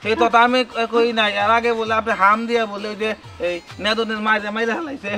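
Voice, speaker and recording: A man voicing an excitable, foolish, blustering villager in a comic cartoon, with a rural Bangladeshi accent. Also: high-pitched, quick pace, over music. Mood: complaining.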